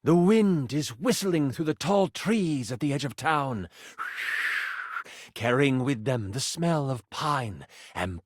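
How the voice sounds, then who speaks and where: A man's voice: medium pitch, no room sound, clean studio sound. An actor playing a living twenty-sided die in a video game, a theatrical, nerdy male game master who narrates adventures and banters. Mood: dramatic storytelling. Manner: theatrical, swinging from exasperated outbursts to hushed storytelling.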